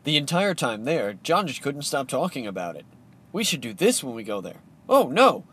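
A man's voice: mid-range voice, big pitch swings, normal speed, fairly bright tone, husky. A young man narrating a home-made comedy film in the first person, with playful, mock-dramatic storybook delivery.

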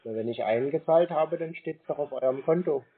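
A man's voice: a little high, brisk, husky. A man in his twenties taking a scam call, giving curt, dry, terse answers. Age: 20s. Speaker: call recipient. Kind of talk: phone call. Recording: tinny phone line.

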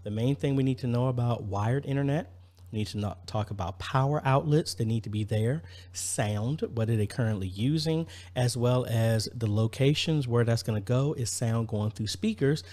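A man's voice: medium pitch, normal speed, a little mellow. A man in his thirties talking informally and practically, thinking aloud as he explains an installation in a casual vlog style. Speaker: church audio-video installer and vlogger.

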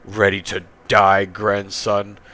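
A man voicing a sinister old grandfather in a horror story, speaking with quiet menace. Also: fairly low pitch, monotone, slow. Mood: menacing.

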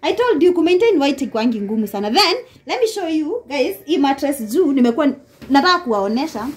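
A young Kenyan woman with a Kenyan accent gives a lively, laughing house tour, filmed on a handheld phone in a small apartment. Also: high-pitched, quick pace. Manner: lively, laughing often, talks straight to viewers. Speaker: vlogger giving a house tour. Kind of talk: casual chatty house tour mixing English and Swahili. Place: small apartment, indoors and on a balcony. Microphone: handheld phone camera.

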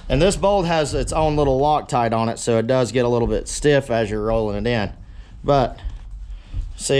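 A man casually talking viewers through hands-on shop work in an easygoing, self-deprecating way, addressing them as guys. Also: medium pitch. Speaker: DIY metalworking video host. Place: cluttered sunlit forge workshop. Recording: clear power tool and workshop noise. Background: drill and tool noise.

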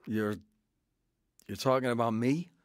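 A man's voice: medium pitch, fairly even intonation, measured pace, clean tone, dry recording, clean studio sound, lightly acted. An actor playing a troubled man, earnest and reflective, in a scripted first-person radio drama. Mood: uneasy. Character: earnest, troubled man recounting his own past.

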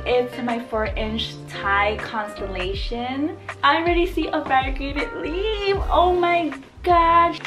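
A woman in her twenties chatting casually as a vlogger, informal and slangy with a self-deprecating streak, recorded on a camera in a bedroom. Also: fairly high pitch. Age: 20s. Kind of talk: casual chatty vlog narration. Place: small apartment bedroom, indoors. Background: background music.

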